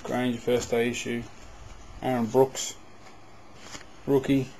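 A man giving casual running commentary as he opens packs of trading cards, breaking into loud bursts of excitement. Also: medium pitch, takes it slowly, poor audio. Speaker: card box breaker. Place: indoors at a table.

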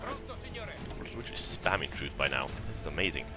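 Low-voiced Italian man rambling over a strategy game. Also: wildly swooping pitch, moderate pace, over loud music. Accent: Italian, softened by years in Sweden. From Italy. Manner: rambling, laughs often, talks to the enemy. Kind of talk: casual let's-play commentary.

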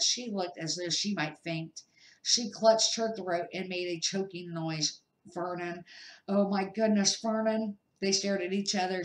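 A middle-aged woman reading a children's novel aloud as an amateur, careful and sometimes stumbling over the words. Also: fairly low pitch. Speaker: amateur storybook reader. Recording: echoey, roomy sound.

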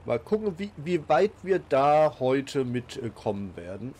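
A man's voice: mid-range voice, very animated, a little slow, a little mellow, slightly rough. A man with a cold, hosting a casual let's play and talking along with many fillers and restarts. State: has a cold. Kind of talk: casual game commentary.

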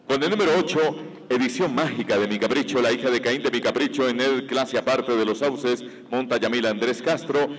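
A man announcing entries at a horse show, listing each entry in a steady, formal cadence over the PA. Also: mid-range voice. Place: large indoor horse show arena. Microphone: PA system. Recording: long hall reverb over arena speakers.

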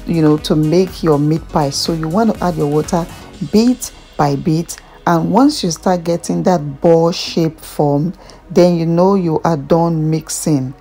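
Casting: Nigerian-accented woman, lower-pitched voice, chatty food vlogger walking through a recipe over background music. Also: unhurried, dark tone. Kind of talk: casual cooking tutorial narration.

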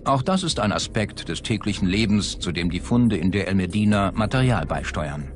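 A man's voice: sits low, animated, normal speed, a little hiss or hum. A man reading scripted narration as a documentary narrator. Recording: loud music behind the voice. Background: music bed.

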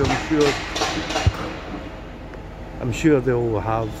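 A man gives a casual, musing running commentary as a vlogger, explaining things and asking rhetorical questions. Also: mid-range voice. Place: outdoors in stands of large open stadium. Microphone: handheld phone or camera. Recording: loud machinery noise, outdoor handheld recording.

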